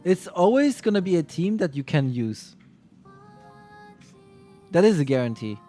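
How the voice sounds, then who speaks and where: A man with a somewhat high voice chats casually on a livestream about a game. He rambles, restarting and correcting himself mid-sentence. Soft music plays quietly behind him. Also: very animated, unhurried. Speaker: streamer.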